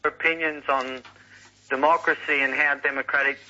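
A male caller on talkback radio, speaking in a hesitant, halting way. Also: fairly high pitch, flat delivery, bright, forceful tone, slight background noise. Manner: hesitant, halting, full of ums. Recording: dull lo-fi archival radio recording.

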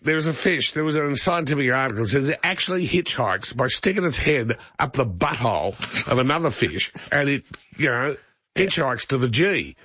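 A male talkback radio host in easy, joking banter with callers and his co-host. His voice sits at an ordinary pitch and sounds a little dull, as radio does. The sound is dry and close. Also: lively intonation, bright, forceful tone, no room sound. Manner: jokey, chatty, quick banter. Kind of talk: casual radio chat. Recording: slightly dull radio broadcast.

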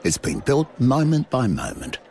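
A man narrating a scripted advert in a warm, evocative way. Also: mid-range voice, huge pitch swings, normal speed, creaky. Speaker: advert voice-over. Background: music bed.

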